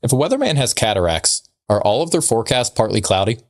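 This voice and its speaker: A man's voice: medium pitch, big pitch swings, fast talker, no room sound, clean studio sound. A man co-hosting a podcast in casual comedic banter, often questioning and teasing. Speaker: podcast co-host.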